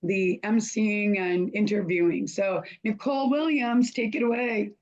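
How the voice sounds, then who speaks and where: Woman host, hoarse and chatty, mid-pitched, lo-fi video call sound. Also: unhurried, darkish tone. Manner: chatty, loses her place and restarts. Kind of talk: casual online show introduction.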